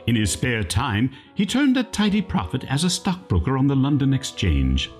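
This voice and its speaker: Radio play announcer played by a man: grandiose storyteller, extremely animated, mock-serious, over music. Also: medium pitch, moderate pace. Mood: mock-solemn. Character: grandiose storybook narrator of a parody tale. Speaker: announcer and narrator. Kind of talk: theatrical scripted narration for parody radio play.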